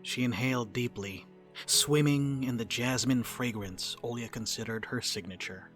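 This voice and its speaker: A man's voice: mid-range voice, normal speed, fairly bright tone, soft background music. A man giving scripted third-person narration for a dramatic reading.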